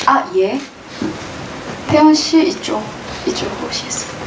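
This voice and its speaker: A woman's voice: high-pitched, huge pitch swings, normal speed. Polite woman moderator in an echoey hall. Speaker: press conference moderator. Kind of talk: formal moderating. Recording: echoey hall, distant mic, noisy room.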